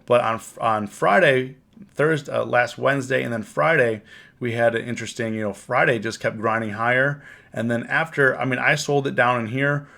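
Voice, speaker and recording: A man with a cold, hoarse and rambling, recapping his trades on a casual live stream and often repeating himself. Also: medium pitch, moderate pace, bright, forceful tone. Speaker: options trading live streamer. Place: home, on camera.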